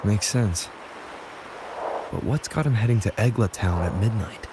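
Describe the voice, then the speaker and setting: Low-voiced, practical hero. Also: male voice, animated, unhurried, noisy background. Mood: calm, focused. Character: calm, practical male captain. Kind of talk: video game voice acting. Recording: music behind the voice.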